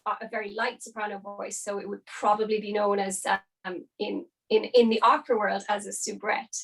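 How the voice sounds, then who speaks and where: A woman's voice: medium pitch, level tone, moderate pace, gravelly, rough recording. A young woman, an opera singer, chatting as a podcast guest and explaining things with lots of hedging fillers. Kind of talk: casual interview chat.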